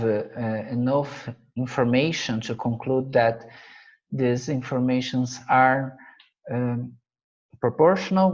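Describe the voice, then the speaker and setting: A man from Brazil with a Brazilian Portuguese accent, a native Portuguese speaker teaching math over slides, halting and searching for his English words with frequent fillers. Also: mid-range voice, dull audio. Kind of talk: slide-based math lesson.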